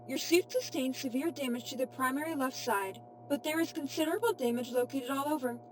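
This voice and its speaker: A woman voicing a calm, helpful female AI assistant in a fan audio drama, measured and formal. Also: sits high, natural voice. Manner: measured, formal status reporting. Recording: clearly noisy home recording.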